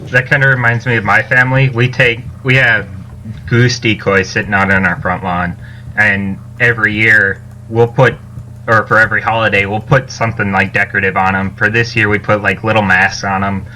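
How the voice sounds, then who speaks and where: A man with a bright, forward voice at a slightly low pitch chats casually on a podcast. He rewords things as he goes, and there is faint noise behind him. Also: fairly even intonation, brisk, low-quality recording.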